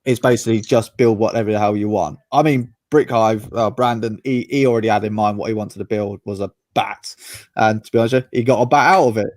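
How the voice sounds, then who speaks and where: A man's voice: lowish voice, fairly even intonation, a little fast, darkish tone, no echo. A man from the UK chatting casually on a livestream panel, rambling in a laid-back way and laughing often. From United Kingdom. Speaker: panel guest.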